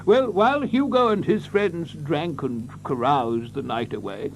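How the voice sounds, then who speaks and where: An excitable, fussy country doctor in an audio drama. His high voice swoops up and down as he stammers, dithers and repeats himself theatrically. A faint hiss sits under the old recording. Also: a little slow, poor audio. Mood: dramatic storytelling. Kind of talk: theatrical radio drama acting.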